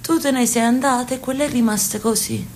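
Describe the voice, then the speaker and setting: A woman playing an aging sister from Naples, fond and stubborn, coaxing her brother in a radio play. Her voice sits fairly high for a woman, with a lilt and warm insistence. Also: fairly even intonation, a little fast, slight background noise, close to an everyday voice. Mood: fond, insistent. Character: elderly Neapolitan sister, insistent and affectionate. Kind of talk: radio drama acting.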